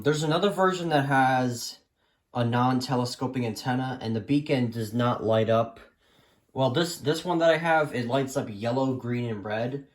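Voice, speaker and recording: Halting man showing off a collection. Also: lowish voice. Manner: halting, hesitant, trailing off. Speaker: hobbyist collector presenting a radio collection. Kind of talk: casual unscripted show-and-tell.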